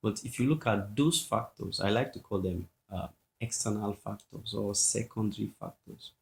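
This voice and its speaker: Pastor guy, lowish voice, chatty teaching tone, keeps checking "you get?". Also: moderate pace, gravelly. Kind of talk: casual podcast discussion.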